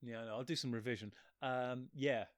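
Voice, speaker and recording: A man with a medium-pitched voice talks casually as a guest in an interview. He rambles in a self-deprecating way and often breaks off mid-thought to start again. The sound is dry, with little room around it. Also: steady tone, brisk, no echo. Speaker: physicist and author. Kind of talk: casual chat.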